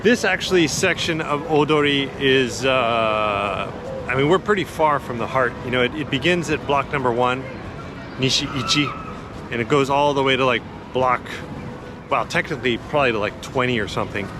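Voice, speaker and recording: Man chatting outdoors over clear city noise. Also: medium pitch, moderate pace. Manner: laid-back, enthusiastic, colloquial. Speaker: vlogger giving a walking tour. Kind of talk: casual chatty walk-and-talk narration. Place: outdoors in a busy city park. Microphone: handheld action camera.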